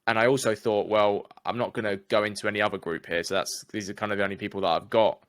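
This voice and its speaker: A man's voice: lowish voice, fairly even intonation, quick pace, a bit bright, no room sound. A young English man with an English accent, speaking candidly as an interview guest in a casual, chatty way. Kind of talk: casual conversation.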